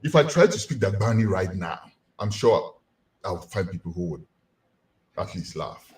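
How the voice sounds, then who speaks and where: A man's voice: low-pitched, moderate pace. A Ghanaian man giving a community lecture, asking rhetorical questions to his listeners. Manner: animated, rhetorical questions to the audience.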